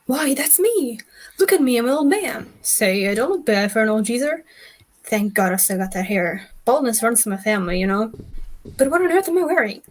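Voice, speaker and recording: A woman playing an excitable, eccentric old male inventor in a theatrical script read. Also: on the high side, fairly quick. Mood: amazed. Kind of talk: table read of a film script.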